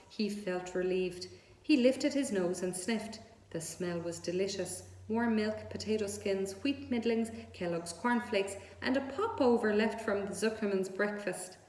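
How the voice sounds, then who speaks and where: A woman's voice: mid-range voice, darkish tone. A woman reading a children's story aloud as narrator. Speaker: story reader. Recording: echoey room with long reverb.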